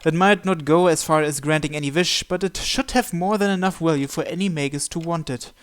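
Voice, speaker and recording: A man's voice: a little high, a little fast, clear, resonant tone. A man hosting a visual novel playthrough, reading the first-person narration aloud.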